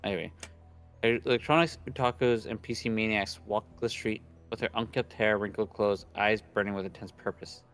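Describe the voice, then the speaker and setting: Young man, low pitch, casual streamer read-aloud, occasional stumbles, faint background music. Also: steady tone, normal speed. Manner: stumbles over words while reading, teasing. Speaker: streamer reading a visual novel aloud. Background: soft background game music.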